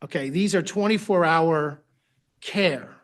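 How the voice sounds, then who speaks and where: A man's voice: a little high, slow pace. Man with a Boston accent. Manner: conversational, trailing off at phrase ends. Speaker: agency director presenting to a board. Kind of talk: public meeting presentation.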